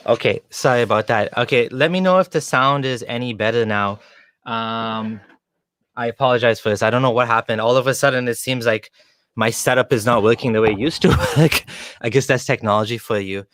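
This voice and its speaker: A man's voice: medium pitch, a little fast, fairly bright tone, no echo. Male interviewer, mid-range voice, casual livestream talk, hesitant phrasing, dry sound. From Kenya. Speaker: interviewer, show host.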